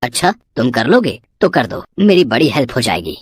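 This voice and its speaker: A woman's voice: extremely low pitch, very animated, brisk, full character voice. A woman voicing a strict male school computer teacher in a cartoon story. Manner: strict, scolding. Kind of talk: cartoon voice acting.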